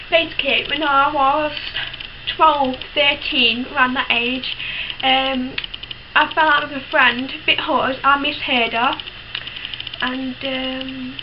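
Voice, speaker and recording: High-voiced chatty young woman. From United Kingdom. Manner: chatty, frank, many fillers and restarts. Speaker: vlogger. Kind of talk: casual talk to camera. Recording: dull lo-fi sound with clear background hiss.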